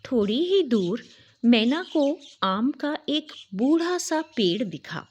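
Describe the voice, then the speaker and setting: Slow, expressive woman storyteller. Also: high-pitched, slight background noise. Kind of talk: scripted storybook narration.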